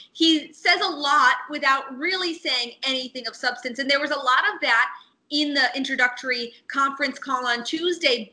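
A woman hosts a sports news segment. Her voice sits high and has a bright, forward edge as she presents and discusses the story. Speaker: sports show host.

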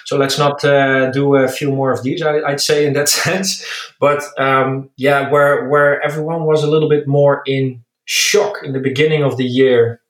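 Dutch man as interview guest, relaxed and musing, roomy echo. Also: medium pitch, normal speed. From Netherlands. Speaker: guest, recruitment director. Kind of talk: casual interview talk. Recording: echoey room.

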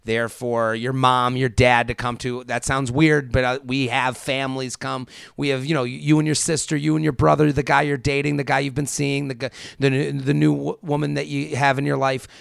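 A man's voice: medium pitch, level tone, normal speed, creaky, smooth, no echo, studio quality. A man co-hosting a podcast, chatting casually in a loose, rambling way. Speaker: podcast co-host. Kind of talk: casual chat.

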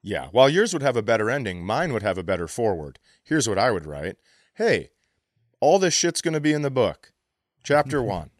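A man's voice: mid-range voice, big pitch swings, normal speed, no echo, studio quality. A man bantering casually as a comedy podcast co-host, teasing and blunt, with sardonic, sweary delivery.

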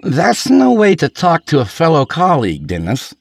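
Man acting a bitter, volatile drunk man, wildly swinging pitch. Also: sing-song, a little slow, no echo. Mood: drunken swagger. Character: drunk, bitter, volatile middle-aged man, a failing lawyer.

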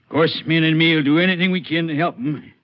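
An actor playing a folksy, shifty farmer with a rural Texan drawl in a 1950s radio western, eager to sound helpful. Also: male voice, on the high side, steady tone, brisk, lightly acted. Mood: folksy, sympathetic. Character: folksy, shifty married farmer, slick-talking countryman. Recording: muffled, noisy 1950s radio recording.

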